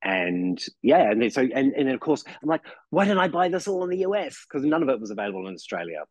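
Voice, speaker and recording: A man's voice: medium pitch, big pitch swings, fast, darkish tone, no room sound. A man in his forties with a New Zealand accent, chatting as a podcast guest and rambling with lots of "you know" and restarts. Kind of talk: casual chat.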